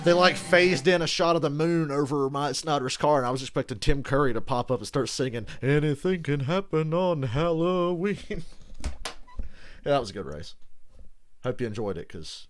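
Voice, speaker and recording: A man with a hoarse, worn voice reacting live to a race and calling the action bluntly, with the odd swear. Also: fairly high pitch, expressive, normal speed, soft background music. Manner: loud, blunt, swears casually. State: hoarse, losing his voice. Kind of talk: excited live play-by-play reaction.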